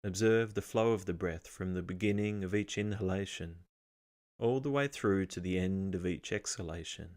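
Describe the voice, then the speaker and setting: A man narrating a guided meditation as the guide, speaking in a calm, measured, instructional way with pauses. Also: low-pitched.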